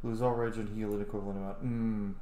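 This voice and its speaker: A man's voice: lowish voice, little pitch movement, fast, dark tone, reverberant, slight background noise. A man playing a video game and thinking aloud in casual, sweary commentary. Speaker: gameplay streamer. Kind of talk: casual let's play commentary. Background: game music.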